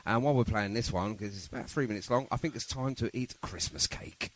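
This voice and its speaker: A man's voice: fairly low pitch, a little fast, a bit bright, no echo. A man hosting a chatty radio interview in a jovial, encouraging manner. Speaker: radio show host.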